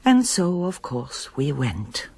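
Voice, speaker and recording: Low-voiced, brittle mother. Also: very animated, slow pace, very noisy, exaggerated voice. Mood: reflective. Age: middle-aged. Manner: wounded, hesitant, introspective. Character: troubled, brittle mother of a grown son, former pianist. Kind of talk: broadcast radio drama acting.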